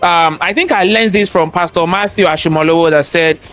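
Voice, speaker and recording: A man with a strong Nigerian accent and a high voice speaks as an interview guest. He talks in a motivational, sermon-like way, repeating key phrases for emphasis and throwing out rhetorical questions. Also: a little fast, fairly bright tone, faint music behind. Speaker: guest, cybersecurity expert and entrepreneur. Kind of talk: interview conversation, motivational talk.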